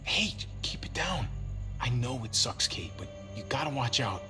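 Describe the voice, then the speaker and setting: An actor playing a steady, protective man in an apocalypse video game drama, plain-spoken and reassuring. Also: medium pitch, moderate pace, close to an everyday voice. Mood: hushed, cautioning. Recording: music behind the voice.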